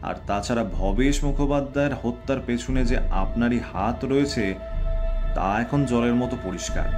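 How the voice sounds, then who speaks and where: Shrewd detective, acted by a man, over loud music. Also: mid-range voice, natural voice. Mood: confident, accusing. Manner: deliberate, theatrical, formal and probing. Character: shrewd, self-assured male Bengali detective unmasking a culprit. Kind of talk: audio drama acting. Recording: loud background music under voice.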